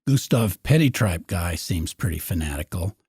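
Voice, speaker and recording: Low, husky man in dry conversation. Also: lively intonation, normal speed, a little mellow, no echo. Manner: wry, musing. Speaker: podcast host. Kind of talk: conversational podcast discussion.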